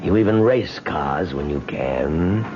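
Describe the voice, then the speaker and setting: A wealthy old gentleman in a vintage radio drama, urbane and smugly assured. His voice is very low yet moves expressively. The dull recording has clear background noise. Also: lively intonation, slow, heavily acted. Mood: amused, assured. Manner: formal, precise, urbane.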